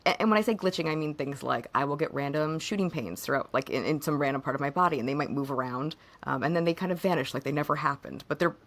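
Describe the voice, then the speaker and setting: A hoarse woman co-hosting a podcast, chatting casually and haltingly about personal matters, her voice fading a bit. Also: low voice, a bit bright. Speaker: podcast co-host.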